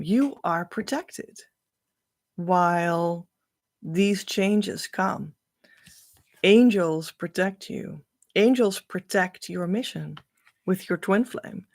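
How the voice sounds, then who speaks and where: Woman, slow unhurried speech, gravelly fry, dry close sound, earnest encouraging coach. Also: on the low side, a bit bright, dry recording. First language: Dutch. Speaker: spiritual coach and card reader. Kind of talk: casual card-reading monologue.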